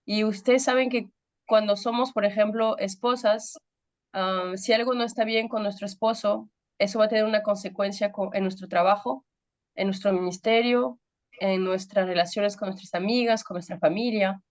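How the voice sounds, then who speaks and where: A woman's voice: medium pitch, fairly even intonation, normal speed. Peruvian woman with French-tinged Spanish. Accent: Peruvian Spanish with some French influence. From Peru. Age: 30s. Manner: hesitant, many fillers and searches for words. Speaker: pastor. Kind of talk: women's ministry talk.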